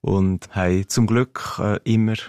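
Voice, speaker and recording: A man from Ticino speaking with a Swiss German accent, a teacher interviewed as a guest on radio, talking thoughtfully with frequent pauses and fillers. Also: fairly low pitch, fairly even intonation, a little mellow, smooth, no echo, clean studio sound. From Ticino, Switzerland.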